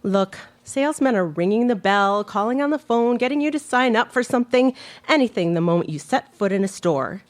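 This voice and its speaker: A woman's voice: on the high side, animated, fairly quick, close to an everyday voice. A woman playing a mild, evasive mid-century housewife in a live radio play, sounding innocent and vague. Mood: placating, evasive.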